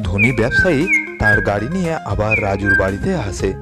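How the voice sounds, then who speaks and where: Loud music runs behind a man with a fairly low voice. He reads a moral tale as a storybook narrator, in scripted sentences. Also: big pitch swings, fairly quick.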